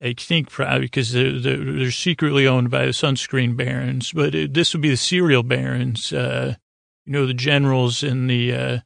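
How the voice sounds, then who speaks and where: A man with a creaky voice giving a rambling, halting bedtime monologue, often stammering and circling back on his words. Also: mid-range voice, fairly even intonation, fairly bright tone, dry recording, clean studio sound. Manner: meandering, halting, stammering repeats. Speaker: podcast host.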